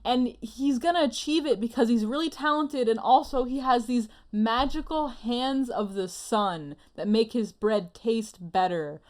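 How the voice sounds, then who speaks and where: A woman co-hosting an anime review show, chatting casually in an expressive, playfully exasperated way. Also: on the high side, measured pace, few pauses.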